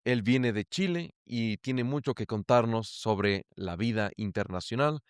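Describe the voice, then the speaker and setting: A man's voice: mid-range voice, measured pace, smooth, no room sound, clean studio sound. A male podcast host who interviews his guest with curious, engaged questions and exclamations. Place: lives in Ecuador.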